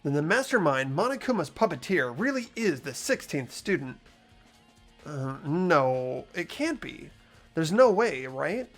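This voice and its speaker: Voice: mid-range voice, very animated, unhurried, a bit bright, soft background music. A playthrough host reading a video game story aloud in character, with casual asides.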